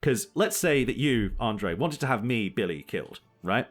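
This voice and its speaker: A man's voice: mid-range voice, animated, normal speed. Joking, laughing male podcast co-host. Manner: joking, laughs often, rambling asides. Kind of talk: casual chat.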